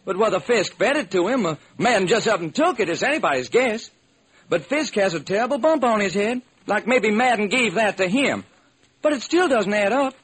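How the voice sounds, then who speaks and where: An actor playing a baffled, folksy police lieutenant in an old-time radio detective drama, talking in earnest slang. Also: male voice, sits high, lively intonation, moderate pace, fairly bright tone, breathy edge, exaggerated voice. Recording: noisy vintage radio recording.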